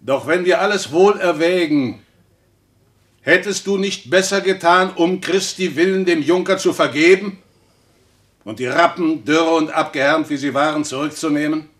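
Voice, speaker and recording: An actor in a German radio play, playing a stern religious reformer who admonishes in weighty, preacherly speech. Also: male voice, fairly high pitch, moderate pace, a bit bright, lightly acted. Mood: measured admonishing. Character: stern, reproving Protestant reformer and theologian.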